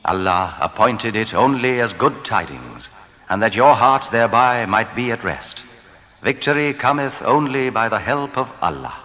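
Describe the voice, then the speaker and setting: A man giving a solemn, formal reading of translated scripture, deliberate and declamatory in his phrasing. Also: mid-range voice, animated, sharp tone. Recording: long reverb, hall-like.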